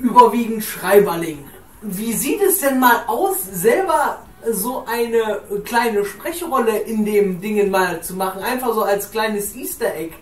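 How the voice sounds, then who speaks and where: An interviewer asking long, halting questions full of fillers and restarts. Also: medium pitch, echoey room, recorded from a distance. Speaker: podcast interviewer.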